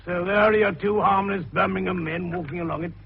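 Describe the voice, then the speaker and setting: An actor playing a shrewd, avuncular older detective in a radio drama, husky and gravelly, genially patronising as he questions and interrupts. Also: sits high, steady tone, brisk, a little hiss or hum. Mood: genial, probing. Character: shrewd, avuncular old male amateur detective. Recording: tinny, band-limited radio broadcast.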